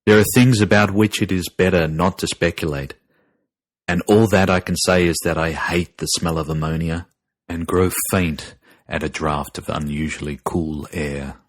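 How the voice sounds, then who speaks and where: A man narrating a scripted horror story in a grave, deliberate and ominous manner. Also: sits low, fairly even intonation, no echo. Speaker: narrator and podcast host.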